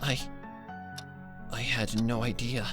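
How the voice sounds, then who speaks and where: A man voicing a shaken but caring female cartoon pony in a story, speaking gently and haltingly. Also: mid-range voice, little pitch movement, natural voice. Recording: music bed behind the voice.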